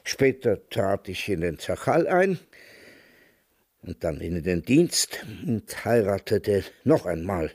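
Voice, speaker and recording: An actor in a radio drama playing an old, retired emigrant veteran who speaks in a measured, wry, reminiscing way. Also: male voice, low-pitched, sing-song, darkish tone, slightly rough, no echo, studio quality, heavily acted. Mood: wistful, matter-of-fact. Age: older. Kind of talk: German radio drama acting.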